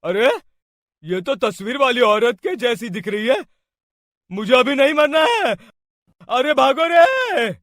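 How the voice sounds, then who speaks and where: A man playing a frightened village man in a cartoon story, with an exaggerated, excitable comic delivery. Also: very high-pitched, slow pace, long pauses, no echo, clean studio sound, exaggerated voice. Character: frightened cartoon village men and cowardly thieves.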